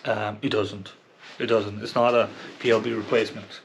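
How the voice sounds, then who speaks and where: A man's voice: fairly low pitch, level tone, normal speed, creaky, slight background noise. A man giving a casual, unscripted product review in a hesitant, rambling way, often repeating himself. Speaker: product reviewer and kayak angler. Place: indoors at home near a computer.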